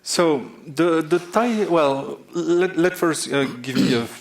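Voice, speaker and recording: A man's voice: on the high side, takes it slowly. A Belgian man with a French accent giving a technical talk on a conference stage, hesitant, with many filler sounds. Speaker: conference speaker. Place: large conference hall stage.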